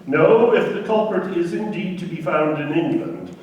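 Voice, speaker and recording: A man's voice: a little high, normal speed, a little mellow, highs cut off, close to an everyday voice. A man playing an indignant, formal pearl merchant in a radio play, emphatic and theatrical in his delivery. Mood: adamant. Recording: very echoey, distant from mic.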